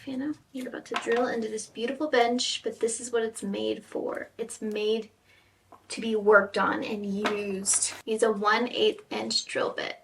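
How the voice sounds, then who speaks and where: A woman's voice: medium pitch, vocal fry, fairly bright tone. Chatty woman filmed from across her workshop. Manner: chatty, talks to herself while working, occasional whispers. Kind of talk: casual workshop vlog. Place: home workshop. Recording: distant camera mic with faint room noise.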